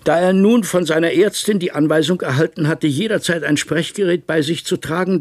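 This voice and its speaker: A man's voice: mid-range voice, breathy edge, dry recording. An actor playing an authoritative male project official, formally laying out evidence at a hearing in a radio drama.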